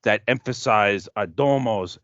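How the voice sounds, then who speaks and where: A man's voice: fairly low pitch, measured pace, fairly bright tone, no echo, lo-fi sound. A man playing a paranoid, grandiose conspiracy theorist who lectures pompously and ominously in a comedic audio play. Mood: ominous, indignant.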